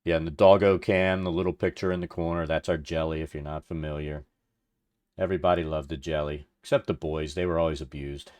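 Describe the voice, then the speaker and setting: A middle-aged man with a deep radio-like voice, chatting casually and thinking aloud as he hosts a livestream for his viewers. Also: steady tone, fairly quick, darkish tone. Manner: rambling, informal, thinking aloud. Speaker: livestream host. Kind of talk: casual chat with stream viewers while working.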